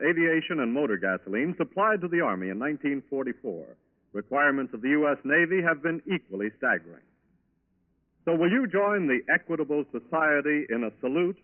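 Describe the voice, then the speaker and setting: Old timey american radio announcer guy, formal n rousing, voice swings up and down, muffled 40s sound. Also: a little high. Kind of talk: 1940s radio announcing, scripted sponsor read. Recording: muffled 1940s radio broadcast recording.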